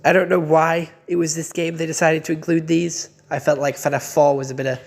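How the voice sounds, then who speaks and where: Croaky young man reviewing games. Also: fairly high pitch, little pitch movement, a little fast. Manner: chatty and loose, with frequent uh and um fillers and casual swearing. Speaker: YouTube game reviewer. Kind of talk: casual spoken review.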